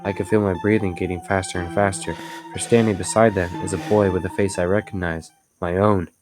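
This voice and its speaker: A man's voice: low voice, fairly even intonation, fairly quick, music in the background, close to an everyday voice. A man acting as the haunted narrator of a gothic audio drama, telling it in the first person, grave and suspenseful. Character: haunted, desperate male narrator of a gothic tale. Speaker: narrator and protagonist.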